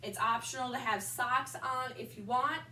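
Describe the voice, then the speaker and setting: Bright-voiced woman fitness instructor, echoey room. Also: a little high, fairly even intonation, a little hiss or hum. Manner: upbeat instructing cues, brief pauses between moves. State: allergies, slightly nasal. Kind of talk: guided exercise class. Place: large indoor wellness room with wood floor. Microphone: far camera on a tripod. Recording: roomy echo, voice from far off.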